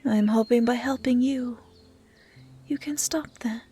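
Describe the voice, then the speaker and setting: A woman voicing a gentle, curious young dryad who tends the forest, speaking in a soft, breathy near-whisper in an intimate ASMR roleplay style. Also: fairly high pitch, a little slow, soft background music, lightly acted. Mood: soft, wondering. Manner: hushed, breathy, caring delivery, often near whispering.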